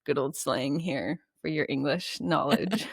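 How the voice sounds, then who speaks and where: A woman's voice: low-pitched, a little slow, gravelly, slightly rough, no room sound, clean studio sound. A woman co-hosting a podcast, telling stories with animation, laughing often and speeding up as she talks. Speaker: podcast co-host. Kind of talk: casual interview chat.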